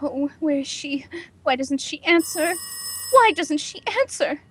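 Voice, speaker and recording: An actress playing a nervous, imperious housewife on edge, in a melodramatic 1940s radio drama style. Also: female voice, high voice, lively intonation, unhurried. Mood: anxious, agitated. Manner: haughty, melodramatic, agitated. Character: nervous, imperious, panicking housewife alone at night.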